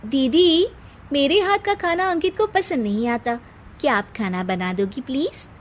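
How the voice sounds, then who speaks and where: A woman's voice: high voice, expressive, normal speed, a little hiss or hum, voice pushed up. A woman voicing a scheming young wife in a cartoon moral story, sweet-talking and complaining by turns. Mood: sugary, whiny pleading. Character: scheming, sugary, whiny young wife and sister-in-law.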